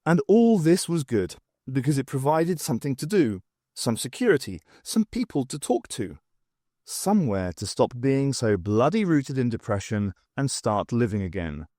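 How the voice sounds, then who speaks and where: Expressive male audiobook narrator, very dry sound. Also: mid-range voice, moderate pace, dry recording. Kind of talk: scripted narration of a novel.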